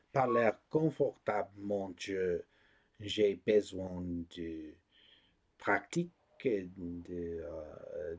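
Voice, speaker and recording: A man speaking French as a second language, hosting his own vlog, hesitant and searching for words with many pauses and fillers. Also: lowish voice, a little mellow. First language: not French. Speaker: channel host. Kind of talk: casual vlog talk to camera.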